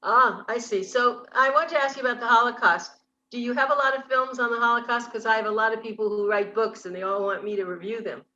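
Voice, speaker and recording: A woman's voice: a little high, steady tone, quick pace, pushed and bright. Woman, interview host, chatty, enthusiastic, lo-fi muffled sound. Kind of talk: casual interview conversation.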